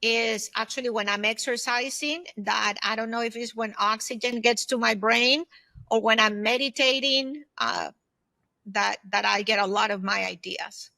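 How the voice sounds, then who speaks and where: A woman in her fifties answering interview questions conversationally, thinking aloud in short, halting bursts. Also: on the high side, steady tone, creaky, bright, forceful tone. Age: 50s. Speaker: podcast guest, solopreneur and former aerospace executive.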